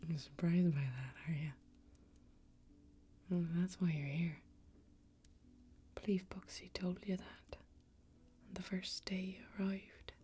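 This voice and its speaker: A young woman playing a tough, watchful female warrior in a fantasy ASMR role-play, whispering breathily and deliberately, with long pauses. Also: lowish voice, steady tone, dark tone, breathy edge, heavily acted. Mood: grave, knowing. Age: young adult. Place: small indoor bar set with bottles. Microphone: close ASMR microphone.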